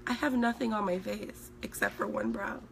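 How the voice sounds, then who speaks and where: A woman in her thirties from Sweden, a makeup artist chatting on a live stream from home with a hoarse, recovering voice while she works and reads out viewer comments. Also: medium pitch, sing-song, normal speed, noisy background. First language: Swedish. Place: indoors at home, small room. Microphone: phone at arm's length.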